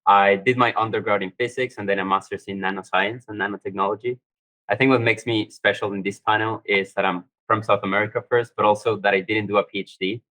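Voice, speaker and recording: Low-voiced man on a panel. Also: quick pace, rough recording. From Colombia. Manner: relaxed, uses many "like" fillers. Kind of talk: casual panel talk.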